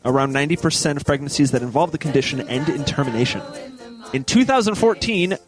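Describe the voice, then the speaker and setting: A man's voice: fairly high pitch, animated, fast talker, over music. A man on a casual comedy show reading news articles aloud, occasionally stumbling over the words.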